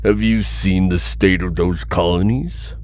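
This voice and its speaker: A man's voice: deep voice, fairly even intonation, measured pace, darkish tone. A man playing a cold, pragmatic corporate executive in an audio drama, speaking in a clinical, dismissive way. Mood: cold, matter-of-fact. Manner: clinical, matter-of-fact, dismissive. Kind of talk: audio roleplay acting, boardroom recording.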